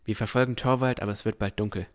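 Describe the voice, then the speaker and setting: A man as a low-voiced, cautious young explorer narrator. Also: steady tone, quick pace, natural voice. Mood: wary. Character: cautious, thoughtful young male explorer narrating his adventure. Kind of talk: first-person scripted narration in fantasy audio drama.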